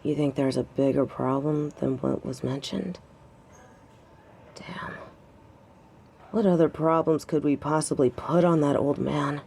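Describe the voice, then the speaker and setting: A woman's voice: sits low, fairly even intonation, a little slow, vocal fry, long pauses, warm tone, slight background noise. A woman playing a protective, stubborn young lover in an intimate audio drama, wry and conversational. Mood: worried. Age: young adult.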